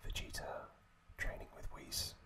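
A man whispering softly as an ASMR host, recapping episodes in a halting way with many pauses. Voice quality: soft unvoiced whisper.

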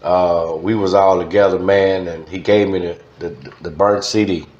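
A man's voice: sits low, steady tone, moderate pace, darkish tone. A middle-aged man with a Southern US accent, reminiscing casually as an interview guest in a studio. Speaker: interview guest, former radio DJ. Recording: slightly dull, roomy echoing sound.

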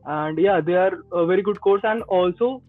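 Young indian guy, high pitched, casual dev advice on a tinny call. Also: moderate pace, dry recording. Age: 20s. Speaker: podcast guest, Android developer. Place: small indoor room. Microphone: earbuds on a video call. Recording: tinny phone-like call audio, faint music.

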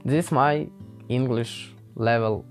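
Slow, halting young man, Ukrainian accent. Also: medium pitch. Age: young adult. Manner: halting and hesitant, with many pauses and fillers. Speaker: vlogger. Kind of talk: unscripted casual talk to camera. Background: faint guitar music.

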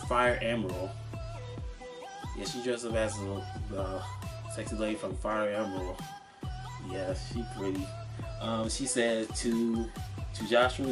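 Halting young man vlogging, music behind. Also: medium pitch. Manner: halting, repetitive, stumbling over words. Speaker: vlogger showing convention purchases. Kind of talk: casual unscripted vlog chat. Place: small cluttered room at home, indoors.

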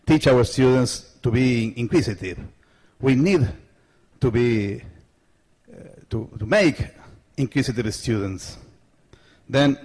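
Middle-aged argentinian guy giving a talk, halting english with lots of uhs, really slow, handheld mic in a big hall. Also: mid-range voice, darkish tone. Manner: halting non-native English with many fillers and restarts. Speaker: conference presenter. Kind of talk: conference talk. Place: large lecture hall stage. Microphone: handheld microphone.

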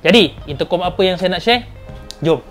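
Higher-pitched Malaysian man vlogging over music. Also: lively intonation, fairly quick, fairly bright tone. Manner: informal, enthusiastic presenter with slang and catchphrases. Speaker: motovlog host. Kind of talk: casual product unboxing vlog. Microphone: clip-on lapel mic.

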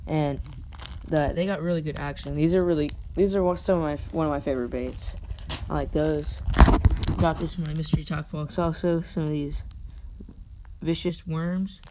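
Flat-voiced guy rambling over his tackle. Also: on the high side, normal speed. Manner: hesitant, rambling, unsure asides. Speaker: angler showing his tackle. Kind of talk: casual unscripted show-and-tell. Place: indoors, carpeted room at home. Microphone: phone camera held over the gear. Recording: phone recording with clear background noise.

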